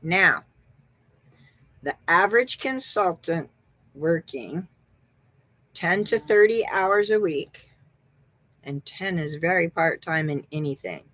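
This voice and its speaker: A woman's voice: on the low side, lively intonation, slow pace, pauses often, a bit bright, no room sound, low-quality recording. A middle-aged woman from the American Southwest with a Texan accent, chatting upbeat as a beauty consultant and thinking aloud while she looks things up. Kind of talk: casual sales vlog.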